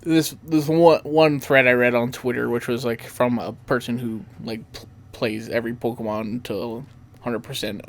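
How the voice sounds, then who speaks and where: A man's voice: mid-range voice, steady tone, moderate pace, slight background noise. A man hosting a podcast, chatting casually about video games in a rambling way full of "like". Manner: rambling, peppered with "like". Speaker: podcast host.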